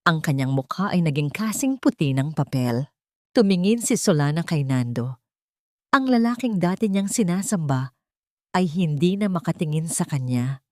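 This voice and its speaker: A woman's voice: low-pitched, lively intonation, moderate pace, no room sound, clean studio sound. A woman narrating a scripted story in a dramatic storytelling style.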